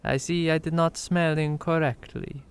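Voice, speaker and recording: A man playing a gentle, dignified older half-elk town elder in a fantasy audiobook, courteous and gently wry. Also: on the high side, fairly even intonation, moderate pace, clean tone, natural voice. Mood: calm, gracious.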